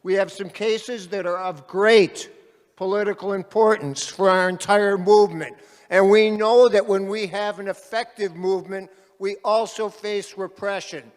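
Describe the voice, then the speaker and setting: A man moderating a panel at an activist conference, speaking loudly and calling out to the crowd like a rally speaker. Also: steady tone, measured pace. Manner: loud, booming, calls out to the crowd. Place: large conference hall. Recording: reverberant hall.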